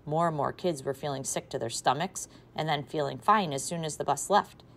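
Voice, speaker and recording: A woman teacher reading a children's novel aloud to a class, with expressive, varied loudness. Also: low-pitched, fairly quick. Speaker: third grade teacher.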